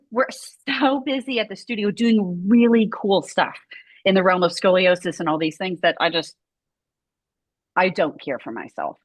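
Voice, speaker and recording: A woman's voice: lowish voice, a bit bright, no echo. A woman who runs a scoliosis movement studio, chatting casually and rambling with lots of "like" fillers. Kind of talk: casual video chat conversation.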